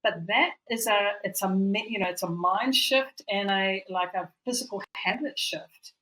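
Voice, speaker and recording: A woman's voice: medium pitch, moderate pace, a bit bright, low-quality recording. A woman co-hosting a casual chat as a coach, talking reflectively and rambling, with many "you know"s and "like"s, over a remote call. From Europe. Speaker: podcast co-host and coach.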